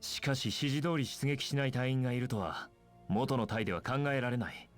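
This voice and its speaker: A man's voice: medium pitch, rapid-fire, soft background music, natural voice. A man playing an earnest, resolute young squad commander in an anime game, speaking seriously, firmly and formally. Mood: brooding.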